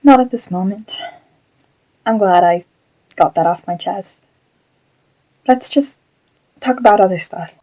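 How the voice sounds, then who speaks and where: A woman playing a gentle, troubled animatronic fox who was once human, speaking hesitantly and reflectively in an audio drama. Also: mid-range voice, a little slow, long pauses, lightly acted, low-quality recording. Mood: subdued, unsure.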